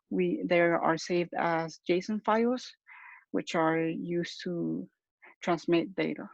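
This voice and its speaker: A man's voice: high voice, creaky, no echo. A young man giving a hesitant, explanatory student presentation over a lo-fi video call. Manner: hesitant, halting. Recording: dull lo-fi call audio.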